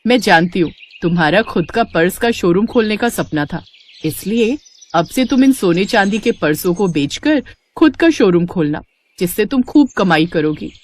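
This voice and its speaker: Storybook fairy, female narrator acting: young, generous, assured, animated intonation. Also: medium pitch, moderate pace, natural voice. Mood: kindly.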